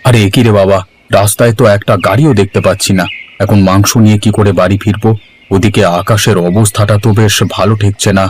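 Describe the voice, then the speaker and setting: A man's voice: lowish voice, steady tone, fast, darkish tone, close to an everyday voice. A man voicing a haughty, anxious rich village husband in a cartoon story, fussy and exclamatory. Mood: worried. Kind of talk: Bengali cartoon story voice acting.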